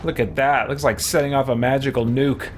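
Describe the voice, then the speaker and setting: A man's voice: mid-range voice, steady tone, fairly quick, background noise. A man hosting a game live stream, thinking aloud in casual, easygoing commentary and chatting with viewers.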